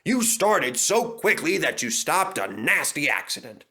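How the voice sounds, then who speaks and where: A man's voice: fairly high pitch, big pitch swings, measured pace, bright, forceful tone, heavily acted. A man voicing a big, proud male express engine from a children's storybook, blustering and exclaiming emphatically. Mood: jovial.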